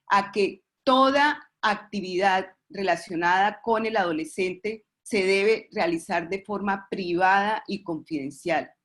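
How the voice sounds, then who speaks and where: A woman with a Colombian accent giving a formal webinar presentation as an expert, often halting and repeating words as she goes. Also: medium pitch. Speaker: expert presenter at a webinar, representing a pediatric committee.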